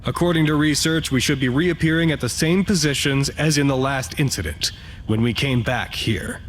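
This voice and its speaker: An actor playing a wry, excitable staff announcer at a secret research facility in an audio drama, his formality prone to fluster. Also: mid-range voice, normal speed, slight background noise, close to an everyday voice. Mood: dry, official.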